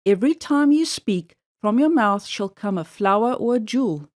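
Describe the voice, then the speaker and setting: A gracious storybook fairy, as a woman narrator puts her on speaks formally and solemnly, as if bestowing a decree. The intonation is very animated. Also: fairly high pitch, normal speed, lightly acted. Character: gracious female fairy, rewarding and punishing.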